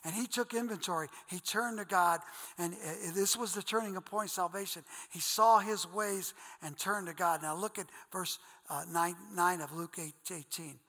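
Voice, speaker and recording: Bright-voiced Ohio pastor preaching in a hall. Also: male voice, high-pitched, steady tone, normal speed. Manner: earnest, conversational preaching, addresses listeners as guys. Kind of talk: sermon.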